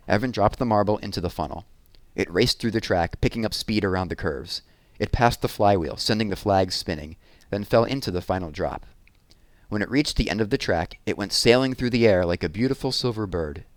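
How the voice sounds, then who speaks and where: Low-voiced man reading a children's book aloud. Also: expressive, moderate pace, dry recording. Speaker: read-aloud narrator. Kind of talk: expressive children's chapter book read-aloud.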